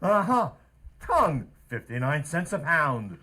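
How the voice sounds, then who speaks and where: A man's voice: on the high side, very animated, unhurried, pushed and bright, heavily acted. A man playing a smug butcher and would-be suitor in an old-time radio comedy.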